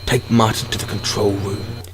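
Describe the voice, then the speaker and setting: A man playing a domineering lizard-like alien ruler in an audio drama, with a hoarse voice that slips into hissing whispers. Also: fairly low pitch, little pitch movement, normal speed, fairly bright tone, lightly acted. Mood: menacing, commanding.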